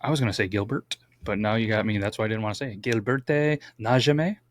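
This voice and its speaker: A man's voice: fairly low pitch, quick pace. A man co-hosting a casual true-crime podcast, reading from his notes with stumbles and wry asides. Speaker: podcast co-host.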